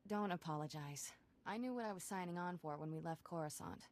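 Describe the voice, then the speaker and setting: An actress playing a spirited, wry young Jedi apprentice in a video game. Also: female voice, fairly low pitch, brisk, a little mellow, lightly acted. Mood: urgent.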